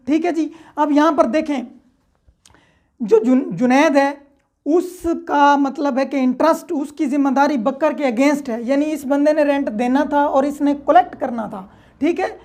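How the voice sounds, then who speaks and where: A woman's voice: sits high, normal speed, a little mellow. A woman with a Pakistani accent giving an explanatory law lecture in a conversational way, with frequent check-in tags. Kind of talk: explanatory lecture with worked examples.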